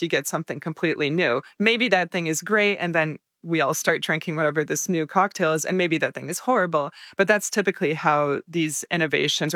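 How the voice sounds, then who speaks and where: A woman's voice: sits low, fairly bright tone, dry recording, studio quality. A woman from Paris, a guest expert explaining things step by step in casual interview talk. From Paris, France.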